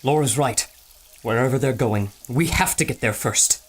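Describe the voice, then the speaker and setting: A man's voice: medium pitch, normal speed, natural voice. A man playing a cautious scholarly doctor. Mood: thoughtful. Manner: thoughtful, scholarly explanations. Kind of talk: audio drama acting.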